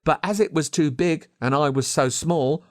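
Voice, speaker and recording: An Australian man reading a scripted children's story as narrator, with dramatic, expressive delivery. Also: on the high side, normal speed, no echo, studio quality. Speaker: audiobook narrator.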